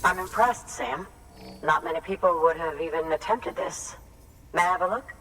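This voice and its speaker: Woman doing a nerdy game biologist, lowish voice, careful and exact. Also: normal speed, background noise, natural voice. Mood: earnest. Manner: articulate, precise, explanatory. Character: earnest, scholarly female biologist. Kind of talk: video game cutscene dialogue.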